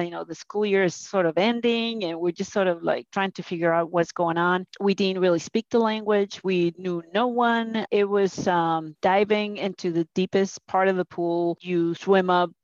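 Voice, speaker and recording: A woman's voice: mid-range voice, dry recording, lo-fi sound. A middle-aged woman from Colombia, speaking as an interview guest in a conversational, hesitant way with frequent restarts and fillers.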